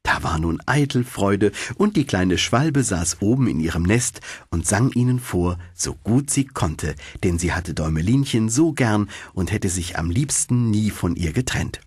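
A man narrating a fairy tale in an expressive storytelling manner, as for an audiobook. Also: lowish voice, measured pace, few pauses, husky, no echo.

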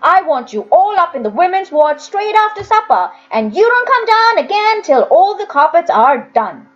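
A woman voicing a stern, sneering workhouse master in a storybook, scolding with drawn-out emphasis. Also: extremely high pitch, sing-song, dull audio, voice pushed up. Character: stern, sneering old-fashioned workhouse master, grown man.

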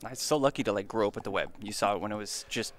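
A man's voice: fairly low pitch, very fast. A man co-hosting a podcast in a casual, chatty style. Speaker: podcast co-host. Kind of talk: casual chat.

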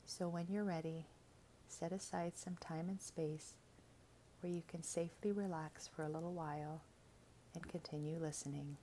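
A woman reading a scripted guided meditation in a gentle, soothing, measured way, with soft, breathy phrase endings. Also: low-pitched, steady tone, a little hiss or hum, low-quality recording.

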